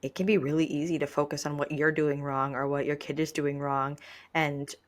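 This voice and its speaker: A woman podcast co-host in her thirties speaks in a somewhat low register. Her delivery is casual and conversational. She comes across as candid and self-deprecating. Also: level tone, normal speed. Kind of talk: casual chat.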